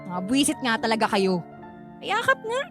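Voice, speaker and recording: High-pitched, sassy young Filipino woman. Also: huge pitch swings, normal speed. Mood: teasing, warm. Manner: sassy, taunting, dramatic. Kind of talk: radio drama acting in casual Taglish. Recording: music bed behind the voice.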